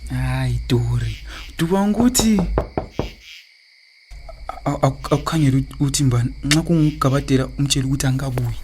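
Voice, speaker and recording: A man's voice: medium pitch, brisk, long pauses, noisy background, lightly acted. A man with a Zimbabwean accent playing an evasive, cajoling philandering husband in a radio drama. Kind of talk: radio drama acting in Ndebele.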